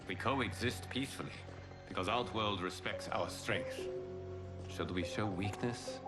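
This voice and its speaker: Guy voicing a grave fire god protector, deep-ish male voice, formal video game speech, music behind. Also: measured pace, close to an everyday voice. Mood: measured, instructive. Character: solemn, commanding fire god and wise protector. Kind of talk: video game cinematic dialogue.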